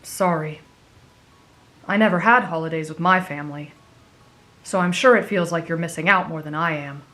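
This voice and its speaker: A woman's voice: deep voice, moderate pace, a bit bright. A woman voicing an emotional, cynical teenage boy demon slayer, grumbling and easily exasperated, in an anime fan audio roleplay. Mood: subdued.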